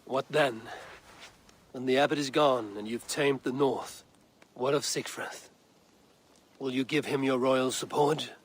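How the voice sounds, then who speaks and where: An actor playing a loyal, fatalistic Viking warrior in a video game, blunt and stern. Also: male voice, mid-range voice, steady tone, a little slow, pauses often, natural voice. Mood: firm. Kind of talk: video game cutscene acting.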